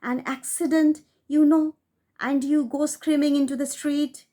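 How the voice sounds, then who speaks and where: Audiobook figure: woman reader doing a scheming man, high, eager, insistent. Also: level tone, measured pace, mellow. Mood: urgent. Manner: eager, urgent, insistent whispering. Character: scheming man urging his brother to a murder.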